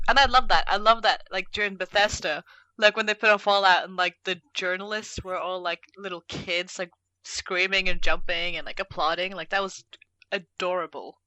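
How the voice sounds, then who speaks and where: Bright, clear-voiced woman chatting casually. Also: lowish voice, rough recording. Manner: informal, peppers talk with "like". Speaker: podcast co-host. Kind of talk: casual panel chat about games.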